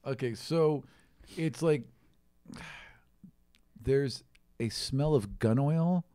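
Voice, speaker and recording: A man running a tabletop game as game master, narrating casually in halting, conversational descriptions. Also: medium pitch, warm tone, dry recording, clean studio sound.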